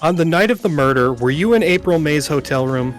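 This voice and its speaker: Man reading as an earnest, higher-voiced young lawyer. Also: animated, brisk, faint music behind, natural voice. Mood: probing. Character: earnest young male defense lawyer, polite but doubtful. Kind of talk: video game dialogue read aloud by amateur.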